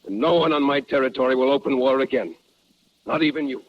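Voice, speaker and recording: An actor playing a proud, resolute chief in a 1950s radio drama, with formal, measured phrasing and no contractions. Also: male voice, mid-range voice, slight background noise, natural voice. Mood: commanding. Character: proud, resolute Apache chief, grave and principled. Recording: muffled vintage radio broadcast.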